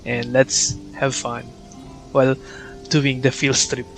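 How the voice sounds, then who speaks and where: A man's voice: medium pitch, fairly even intonation, slow pace, vocal fry, low-quality recording. A man with a Filipino accent and Tagalog as first language, chatting casually and commenting as a game streamer. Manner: reads game dialogue aloud, mixes two languages. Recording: game music behind the voice.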